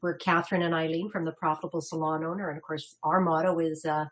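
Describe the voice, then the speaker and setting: A woman's voice: deep voice, little pitch movement, fast. Friendly chatty lady presenting a salon webinar, upbeat, talks with lots of ums. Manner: chatty and upbeat, many fillers and restarts, laughs easily. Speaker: salon business consultant, co-host.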